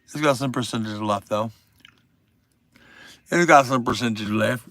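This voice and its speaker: A man's voice: mid-range voice, measured pace, a bit bright, no room sound. A man hosting a casual livestream from home, rambling, swearing and joking with his chat. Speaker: livestream host.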